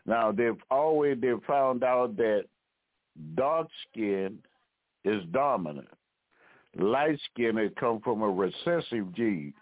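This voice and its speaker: A man hosting an internet radio history talk, lecturing in a halting way with frequent restarts and repeated words. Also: mid-range voice, animated, no room sound. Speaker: history talk show host. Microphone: phone line. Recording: tinny phone-line call-in audio.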